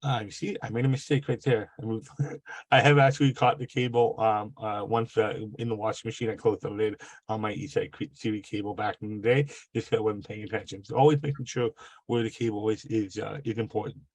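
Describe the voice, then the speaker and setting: A man with a cold and a gravelly voice hosting a live online workshop over a video call, halting and full of fillers and restarts. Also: fairly low pitch, steady tone, no room sound. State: has a cold. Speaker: webinar host.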